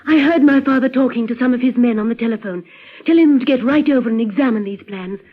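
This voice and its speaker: A woman's voice: a little high, quick pace, natural voice. An actress playing an earnest, determined young woman in an old radio drama, speaking directly. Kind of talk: 1950s radio drama acting. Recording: tinny, narrow-band old radio recording.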